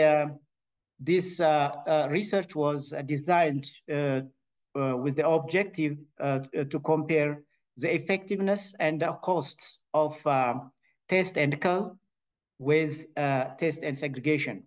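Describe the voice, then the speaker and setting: Hesitant Ethiopian man presenting research. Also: a little high. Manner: hesitant, many filled pauses and restarts. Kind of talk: conference presentation.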